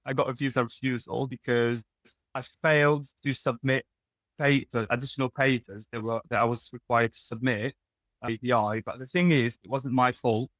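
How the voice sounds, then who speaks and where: A young Lebanese man speaks English as a second language, with Arabic as his first, in a medium-pitched voice. He talks candidly and often breaks off to rephrase. The sound is dry and close, with no room echo. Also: a bit bright. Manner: candid, restarts and self-corrections. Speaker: guest, pharmacist. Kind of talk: casual interview talk.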